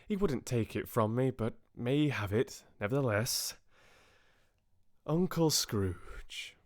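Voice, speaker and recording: A man's voice: medium pitch, expressive, slow pace, pitched above the performer's own voice. Casting: man to play a merry, hearty young nephew for a storybook, exuberant and jovial.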